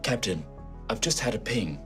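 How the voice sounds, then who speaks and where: A man voicing a polite, detached ship's computer in a tabletop game, speaking in a measured, formal way. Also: fairly low pitch, expressive, fairly bright tone, soft background music, pitched above the performer's own voice. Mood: calm, vague. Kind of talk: tabletop game role-play.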